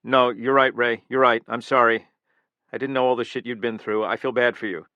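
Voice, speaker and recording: A man's voice: mid-range voice, fairly even intonation, fairly quick, bright, forceful tone, no room sound, lo-fi sound. A man voicing a frightened male security chief who pleads with a voice that cracks upward. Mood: pleading.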